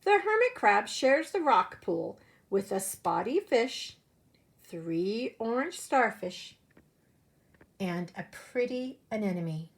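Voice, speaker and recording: A woman preschool teacher telling a story to young children in an expressive way, with simple phrasing and direct questions. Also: mid-range voice, takes it slowly, gravelly.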